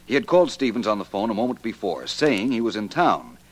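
An actor playing a shrewd, confident detective in 1940s radio drama style, laying out his deductions crisply and methodically. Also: fairly low pitch, expressive, a little fast. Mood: self-assured, explanatory. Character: shrewd, self-assured male amateur detective explaining his deductions.

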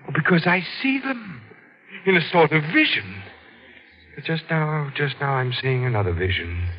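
A man playing a sinister, moody self-proclaimed prophet in a 1940s radio drama, speaking ominously and deliberately. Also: a little high, sing-song. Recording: dull vintage radio recording.

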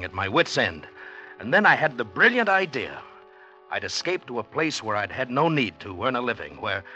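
An actor playing an exultant, secretive, penniless poet, narrating theatrically in a 1940s radio drama. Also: male voice, mid-range voice, bright, forceful tone, poor audio. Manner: theatrical, intense, declamatory. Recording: old 1940s radio broadcast, music behind.

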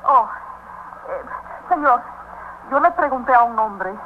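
A flustered old Spanish-speaking lady in an old radio play. Her voice is high, and she is polite, apologetic and pleading. The recording is dull with faint hiss. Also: expressive, normal speed. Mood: anxious, pleading. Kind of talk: 1950s radio drama acting.

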